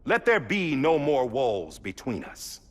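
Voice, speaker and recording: An actor playing a solemn president delivering a formal, grave, deliberate oath speech in a video game. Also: male voice, medium pitch, very animated, fairly bright tone. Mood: resolute, grave. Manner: grave, stately, deliberate with long pauses. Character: solemn new president giving an inaugural oath.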